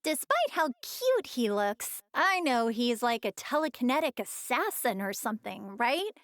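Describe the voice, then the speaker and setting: A playful, kind young mother from a video game. Her voice is high and animated, with a curious, light-hearted delivery. The sound is dry and studio-close. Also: a little slow, no echo. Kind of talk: scripted video game character banter.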